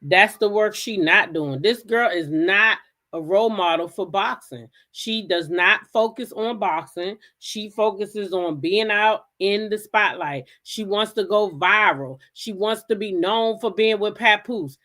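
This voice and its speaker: A woman's voice: mid-range voice, unhurried, sharp tone. Blunt American woman gossiping. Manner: blunt, emphatic, repeats phrases. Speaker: host of a gossip talk show. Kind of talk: casual opinionated gossip commentary.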